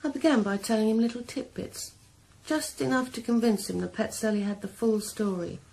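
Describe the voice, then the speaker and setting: An actress playing a young woman killer calmly confessing her revenge in a 1980s radio drama. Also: fairly high pitch, vocal fry, slight background noise, rough recording. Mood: cold confessing. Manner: calm, measured, quietly cold. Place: sauna.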